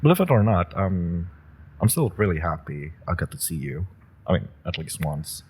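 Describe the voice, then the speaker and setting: A man's voice: sits low, very animated, moderate pace, a little mellow, slight background noise. A man voicing an eager, nervous young rookie villain who stammers and hesitates, in an audio roleplay. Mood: nervous, pleading. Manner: hesitant, stammering, many fillers. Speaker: listener roleplay actor.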